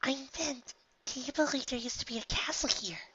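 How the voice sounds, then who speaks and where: A woman's voice: high-pitched, brisk, sharp tone, pitched above the performer's own voice. A woman voicing a refined, fussy female unicorn with a posh, theatrical manner. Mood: flustered. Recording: dull lo-fi recording.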